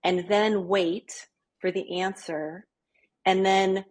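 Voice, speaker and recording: Husky woman, chatty business coach. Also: mid-range voice, steady tone, slow pace, low-quality recording. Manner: chatty, keeps asking "right?". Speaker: small business coach, guest. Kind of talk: podcast interview.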